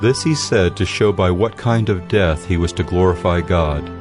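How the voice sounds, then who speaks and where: A male narrator reads scripture in a measured, solemn manner. His voice sits fairly low, and music plays clearly underneath the reading. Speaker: Bible narrator.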